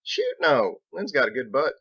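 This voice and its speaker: A man's voice: mid-range voice, huge pitch swings, moderate pace, fairly bright tone, slightly rough, no room sound, muffled recording, pitched above the performer's own voice, full character voice. A man voicing a folksy, blunt young small-town sheriff with a drawl in a storybook reading. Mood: sheepish, casual.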